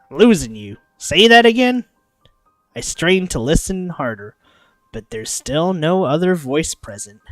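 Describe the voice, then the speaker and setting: This is a livestreamer reading a story aloud with a very animated, swooping delivery. The pace is unhurried and the sound is close and dry, with clear music playing underneath. The manner is casual, with goofy asides slipped between the narration. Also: medium pitch, no room sound. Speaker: streamer reading a visual novel aloud.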